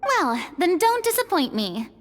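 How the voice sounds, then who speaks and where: A woman's voice: extremely high pitch, big pitch swings, measured pace, exaggerated voice. A woman playing a theatrical, self-assured young woman in a video game, grandiose and dramatic in delivery. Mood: confident, teasing. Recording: music behind.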